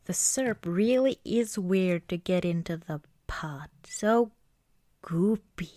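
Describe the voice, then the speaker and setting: A woman doing an ASMR roleplay as an affectionate girlfriend, chatting casually and hesitantly in a soft, intimate way. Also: on the low side, expressive, slow pace, dry recording, studio quality. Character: affectionate, cozy girlfriend baking at Christmas.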